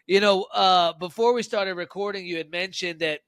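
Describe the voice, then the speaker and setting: A man with a high, bright voice hosts a business podcast. He sounds agreeable, affirming his guest's points before steering on with leading questions, and the recording is close and dry. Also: steady tone, normal speed, clean tone, no room sound. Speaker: podcast host. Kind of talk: podcast interview hosting.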